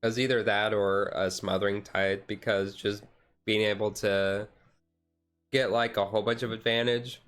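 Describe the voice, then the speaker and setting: A man's voice: lowish voice, flat delivery, normal speed, a bit bright. A man streaming a casual, laid-back ASMR chat in a rambling way, with music behind him.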